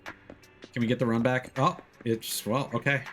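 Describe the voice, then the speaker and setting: A man's voice: mid-range voice, steady tone, measured pace, a bit bright. A man giving casual live commentary while playing a video game, musing aloud in a conversational way. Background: music behind the voice.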